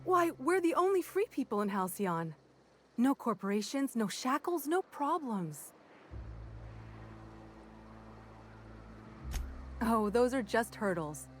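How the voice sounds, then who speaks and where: An actress playing a perky, naive commune greeter in a video game, chirpy, folksy and over-eager. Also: female voice, on the high side, big pitch swings, normal speed, soft background music. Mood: earnest.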